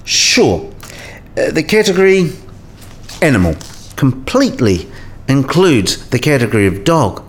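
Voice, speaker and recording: A man's voice: medium pitch, sing-song, a little slow, pauses often. A man playing a patient professor in a radio play, explaining things in a didactic, precise manner. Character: male professor patiently explaining category theory.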